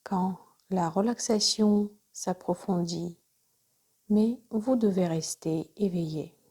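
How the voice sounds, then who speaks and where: A woman leading a guided yoga nidra relaxation as instructor, speaking softly and breathily, often near a whisper, in calm, measured short phrases. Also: on the low side, slightly rough.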